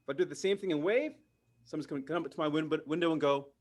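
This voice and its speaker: A middle-aged man, doing a stand-up comedy set, delivering deadpan punchlines and slipping into mock voices. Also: fairly high pitch, fast talker. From Canada. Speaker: parent.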